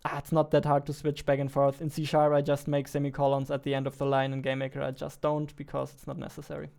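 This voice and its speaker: A man in his twenties talks casually, thinking aloud like a developer at his desk. His voice is fairly high for a man, clean and smooth. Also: completely flat delivery, fast talker, darkish tone. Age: 20s. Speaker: game developer, video host. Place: small indoor room at a computer.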